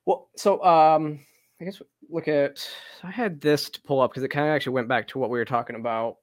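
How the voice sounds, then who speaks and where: A man's voice: fairly high pitch, steady tone, brisk, no echo. Man hosting, dry close sound. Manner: hesitant, frequent ums. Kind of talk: casual political chat.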